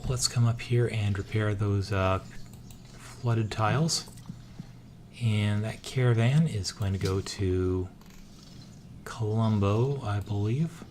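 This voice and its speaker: A man with a hoarse, somewhat low voice narrates his moves in a strategy game. He thinks aloud in an easygoing way, pausing often on filler sounds. A steady hiss of noise runs under the recording. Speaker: let's-play gamer. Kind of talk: casual strategy game commentary.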